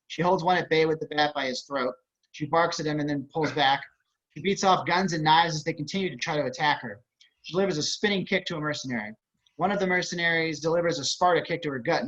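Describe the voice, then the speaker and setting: A man's voice: fairly high pitch, fairly bright tone. A man narrating stage directions at an online table read, with wry, casual asides. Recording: dull video-call audio.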